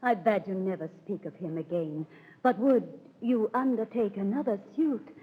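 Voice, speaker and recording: A woman playing a proud, yearning highborn lady, with stately verse delivery in 1930s radio Shakespeare style. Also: moderate pace, breathy edge, close to an everyday voice. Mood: courtly, yearning. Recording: thin, phone-like 1930s radio recording.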